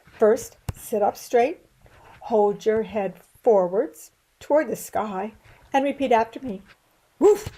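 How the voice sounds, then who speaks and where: A woman's voice: a little high, slow pace, warm tone, rough recording. A woman voicing a helpful, bossy male dog who chides and instructs in a children's storybook. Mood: instructing. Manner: chiding, instructive.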